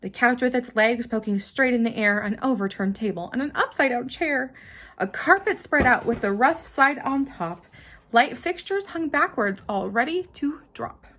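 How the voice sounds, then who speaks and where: A woman reading a children's story aloud in an expressive, dramatic manner, with simple asides for young listeners. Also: a little high, normal speed, slight background noise. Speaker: storybook reader.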